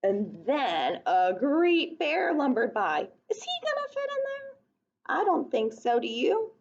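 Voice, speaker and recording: A woman with a fairly high voice reads a picture book to little kids. Her delivery is expressive and aimed at children, with chatty questions along the way. The recording is slightly dull and lo-fi. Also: takes it slowly. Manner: child-directed, expressive, asking the listeners questions. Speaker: storyteller reading aloud to young children.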